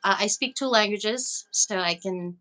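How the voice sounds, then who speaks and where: A woman who was born in the Philippines and grew up in Los Angeles, an indie music artist speaking from a recording studio as an interview guest, chatty and quick to laugh. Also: mid-range voice, sharp tone, poor audio. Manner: bubbly, laughs often, chatty. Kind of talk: casual interview over a call.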